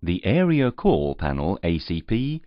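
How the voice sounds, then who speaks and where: A man reading scripted instructional narration in a formal, measured, carefully enunciated way. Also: low voice, huge pitch swings, dry recording. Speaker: training narrator. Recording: slightly muffled, dull audio.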